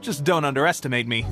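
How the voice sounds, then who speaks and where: Young rebel soldier, very high voice for a man, animated, cocky, friendly, video game, faint music. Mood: playful, confident. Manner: upbeat, encouraging, expressive. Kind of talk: scripted video game voice acting.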